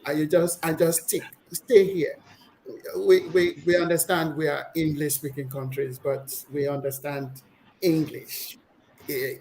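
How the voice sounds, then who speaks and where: A woman's voice: deep voice, a little hiss or hum, rough recording. A woman guest in an interview, explaining her views on business at length with frequent restarts. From Nigeria. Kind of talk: conversational interview.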